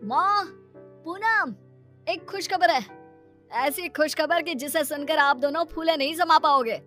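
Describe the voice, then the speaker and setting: A woman's voice: high-pitched, measured pace, a bit bright, soft background music. A woman voicing an earnest working husband and devoted son in a moral story cartoon. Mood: elated.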